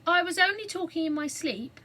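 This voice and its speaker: A woman reading aloud, voicing a nervous, rough male burglar who talks in a hoarse whisper. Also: very high voice, expressive, a little fast, bright, forceful tone, voice pushed up, full character voice. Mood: sheepish. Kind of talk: storybook reading.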